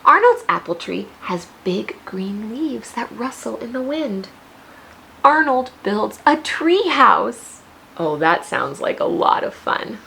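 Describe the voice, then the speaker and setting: Lively, high-voiced American woman reading to children. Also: slow pace, vocal fry, a little hiss or hum, poor audio. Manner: expressive, addressing young children with questions. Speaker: teacher reading a picture book aloud. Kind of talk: children's read-aloud with teaching asides.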